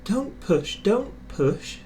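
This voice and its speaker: A man's voice: high voice, big pitch swings, extremely slow, a little hiss or hum, pitched above the performer's own voice, heavily acted. A man voicing a kindly, sensible male steam engine in a children's storybook. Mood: protesting. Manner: gently advising, puffing. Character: kindly, sensible male tank or tender engine, steady helper.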